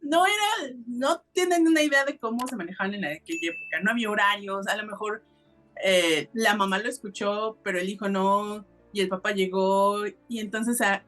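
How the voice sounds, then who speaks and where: A Mexican woman hosts a casual podcast with music under her voice. She explains and narrates in everyday colloquial language, at a middle pitch. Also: normal speed, fairly bright tone. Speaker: podcast host.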